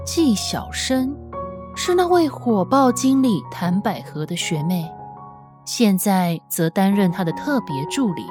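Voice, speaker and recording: A woman reading scripted fiction as an audiobook narrator, with an expressive, measured, dramatic storytelling delivery. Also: medium pitch. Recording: music under the voice. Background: background music.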